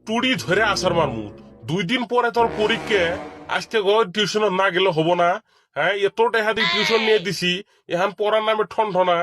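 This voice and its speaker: Man acting a high-pitched scolding village elder. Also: a little fast, over music, close to an everyday voice. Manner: scolding, hectoring. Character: scolding, threatening village father and strict tutor. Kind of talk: comedy cartoon dialogue.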